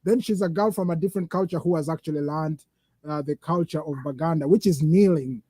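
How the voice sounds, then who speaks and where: Host from uganda and sudan, kinda high mellow voice, stumbles and restarts a lot, dry sound. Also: male voice, no room sound. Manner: halting, many restarts. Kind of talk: casual interview.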